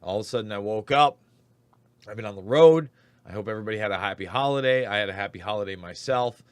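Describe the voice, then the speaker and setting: A male talk host whose voice is hoarse and raspy, ranting emphatically through his political commentary. The sound is dry and close, with no room around it. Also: fairly low pitch, fast talker, no room sound.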